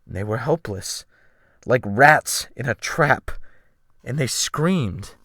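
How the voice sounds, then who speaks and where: A man narrates an audiobook in a theatrical, earnest style. His medium-pitched voice is bright and forceful, with deliberate literary phrasing. The sound is close and dry. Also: expressive, no room sound. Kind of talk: dramatic first-person literary narration. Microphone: Blue Snowball USB microphone.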